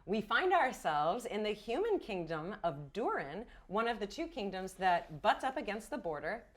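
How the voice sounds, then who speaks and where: A woman's voice: mid-range voice, very animated, normal speed. A woman game master narrating a fantasy tabletop roleplaying game in a playful, theatrical storytelling manner. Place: indoor studio set.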